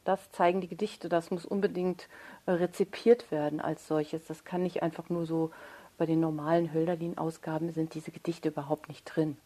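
A woman's voice: sits low, mellow. A woman speaking as an interviewed author in a thoughtful conversation, searching for her phrasing and correcting herself.

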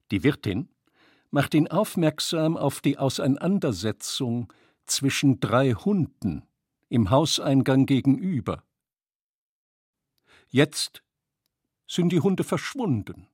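A man reading literary prose as a narrator, in measured, deliberate phrases. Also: medium pitch, darkish tone, slightly rough, no room sound, clean studio sound.

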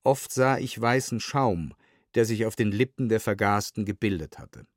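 A man reading a scripted documentary audiobook in a sober, measured way, close to a studio microphone. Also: on the low side, animated, dry recording. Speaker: reader of historical testimony.

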